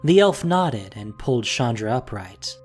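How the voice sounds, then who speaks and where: A narrator with a measured, dramatic storytelling manner, reading a scripted audio drama. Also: medium pitch, big pitch swings, faint music behind. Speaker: podcast narrator and producer.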